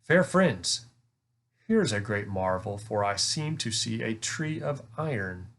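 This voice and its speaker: A man's voice: on the low side, big pitch swings, slow, fairly bright tone, heavily acted. Man acting young queen, gracious, cautious, courtly archaic phrasing, storybook. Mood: marveling. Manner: formal archaic courtly speech. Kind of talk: storybook read-aloud.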